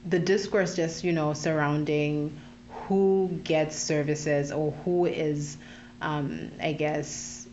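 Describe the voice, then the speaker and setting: Woman from zambia, low voice, lots of ums, kinda muffled recording w faint hum. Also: steady tone, slow pace, darkish tone. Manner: thoughtful, many ums and restarts. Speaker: podcast guest, youth worker. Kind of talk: casual interview talk.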